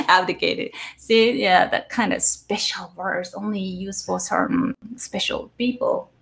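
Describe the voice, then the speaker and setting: A woman's voice: mid-range voice, animated, slow, gravelly, a bit bright. A middle-aged woman from Japan, a native Japanese speaker with a Japanese accent, giving a chatty live-stream tech talk full of fillers and self-corrections over a video call from home. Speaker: guest developer speaker. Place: home room, indoors. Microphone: video call webcam.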